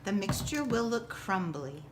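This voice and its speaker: Woman doing a cooking how-to, teacher style, really slow and simple, noisy background. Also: medium pitch, big pitch swings. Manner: instructional, simple clear directions. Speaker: school teacher presenting a recipe. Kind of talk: step-by-step cooking demonstration.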